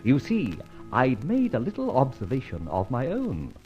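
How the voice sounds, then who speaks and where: An actor playing a confident, genial gentleman safe expert in an old-time radio detective drama, with a jaunty, teasing manner. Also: mid-range voice, huge pitch swings, moderate pace. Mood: brisk storytelling. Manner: jaunty, teasing, self-assured. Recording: dull vintage radio recording, faint music.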